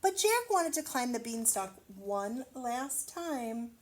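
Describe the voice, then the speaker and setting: A woman reading a storybook aloud to children, expressively, pausing to wonder aloud and explain things simply. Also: a little high, very animated. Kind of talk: children's read-aloud with asides to the listener.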